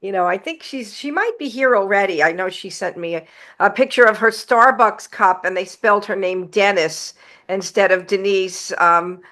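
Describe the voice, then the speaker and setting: Bright-voiced woman hosting an interview. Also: medium pitch, moderate pace. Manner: warm-hearted, enthusiastic, laughs often. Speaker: podcast host. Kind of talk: casual interview chat.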